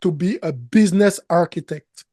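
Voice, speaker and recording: A man's voice: high voice, mellow, no room sound, clean studio sound. A man with a French accent and French as his first language, a business coach speaking earnestly as an interview guest. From Canada. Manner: slow, earnest, emphatic, with non-native grammar slips. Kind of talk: interview conversation.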